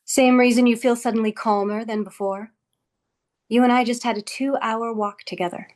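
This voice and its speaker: Higher-voiced, wryly composed woman boss played by an actress. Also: fairly even intonation, normal speed, a little mellow, lightly acted. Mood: dry, soothing. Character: Cobell, composed, wryly condescending female office boss. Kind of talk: script table read.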